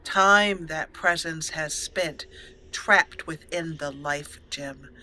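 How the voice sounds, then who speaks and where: A woman's voice: low voice, takes it slowly, pushed and bright, low-quality recording. A woman hosting a let's play, switching between storytelling narration and commentary on her roleplay game.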